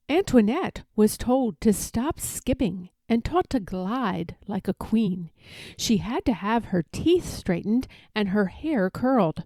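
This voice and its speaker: Slow, lively woman reading a storybook. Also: on the high side, no room sound. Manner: deliberate, animated storytelling. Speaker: read-aloud storyteller. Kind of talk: expressive children's picture book narration.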